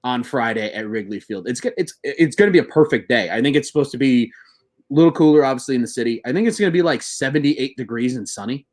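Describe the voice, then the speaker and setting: A man in his twenties co-hosting a sports podcast, talking in an excitable, rambling way and swearing freely. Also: mid-range voice, quick pace. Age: 20s. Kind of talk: casual sports chat.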